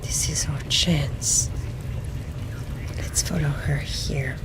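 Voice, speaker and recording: A woman playing a video game in character, talking deliberately and softly to her companions as she commentates. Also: sits low, steady tone, in a whisper. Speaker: gamer roleplaying her own character. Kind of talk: immersive roleplay game commentary. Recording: game music behind her.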